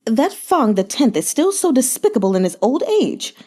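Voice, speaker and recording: Lady playing a cruel flirty noblewoman, sneery and cooing, a bit higher than the reading voice, storybook style. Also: big pitch swings, normal speed, warm tone. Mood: scornful. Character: lewd, cruel noblewoman, scornful.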